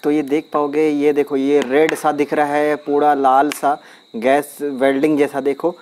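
A man's voice: a little high, level tone, a little slow, darkish tone, slight background noise. A man chatting casually as he shows a hands-on experiment step by step, recorded outdoors on a phone held over his hands. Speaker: DIY experiment vlogger. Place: outdoors on a concrete floor, at dusk.

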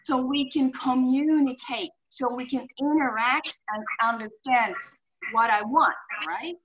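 A woman's voice: sits high, a little slow, a bit bright. A middle-aged woman with a Finnish accent, a horse behaviorist guest speaking earnestly over a video call, explaining with examples and many "you know"s. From Finland. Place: home room indoors. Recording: muffled video call audio with faint hiss.

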